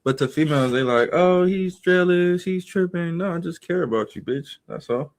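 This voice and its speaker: A man's voice: on the high side, moderate pace. A man talking as a guest on a livestream, stammering and slangy in casual chat.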